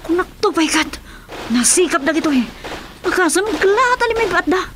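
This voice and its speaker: A very high voice for an excitable companion in a radio drama. The delivery is that of a governor's aide. There is faint noise on the recording. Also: female voice, moderate pace. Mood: agitated.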